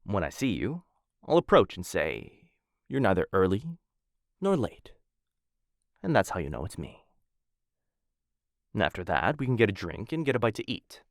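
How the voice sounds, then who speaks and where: A man performing an ASMR roleplay as a suave, smitten assassin of a noble house, speaking in formal, courtly phrases with hesitant softer asides into a close mic. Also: fairly low pitch, sing-song, moderate pace, pauses often, dry recording. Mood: suave, courting. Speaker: voice actor in a boyfriend roleplay audio. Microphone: close studio-style mic.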